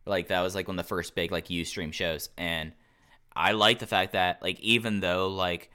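A man's voice: deep voice, fairly even intonation, normal speed, pushed and bright, smooth. A man chatting casually as a panelist, rambling and peppering his sentences with filler words. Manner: rambling, says "like" constantly. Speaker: panelist on a wrestling review show. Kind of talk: casual chat.

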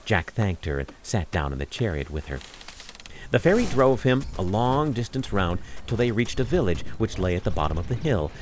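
A man reading a scripted fairy tale for radio as narrator, in a measured, expressive storytelling manner. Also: lowish voice. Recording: music under the voice.

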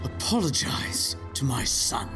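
An actor playing a stern, protective warrior father in an audio drama, speaking with deliberate force. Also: medium pitch, big pitch swings. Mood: menacing. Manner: slow, deliberate, menacing. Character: stern, protective Jedi father, vengeful in combat. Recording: music clearly behind the voice.

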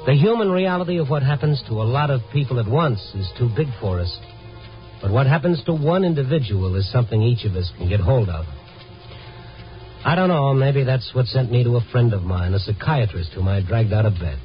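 A man's voice: mid-range voice, expressive, fairly quick, natural voice. An American man playing a weary, compassionate newspaper reporter in a 1950s radio drama, speaking plainly. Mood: world-weary. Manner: world-weary, plain-spoken. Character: weary, compassionate male newspaper reporter, tough-talking night wanderer. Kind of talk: 1950s radio drama acting, first-person narration and dialogue. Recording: muffled vintage radio recording with music.

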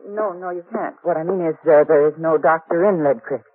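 A woman's voice: low-pitched, brisk, dry recording, soft background music. A woman playing a solitary, uneasy frontier woman in a 1950s radio western, curt, defensive and folksy. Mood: anxious, defensive. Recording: dull, dry old 1950s radio recording.

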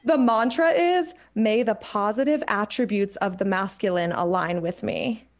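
A woman hosting a casual podcast chat, reading card passages slowly between affirming, chatty remarks. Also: mid-range voice. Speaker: podcast host reading oracle cards.

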